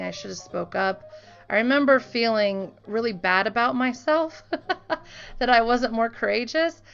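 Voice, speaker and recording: A woman's voice: fairly high pitch, lo-fi sound. A young adult woman speaking earnestly and conversationally, sharing informal reflections with her viewers. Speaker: online art channel host; teacher. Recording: voice with music behind. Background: music.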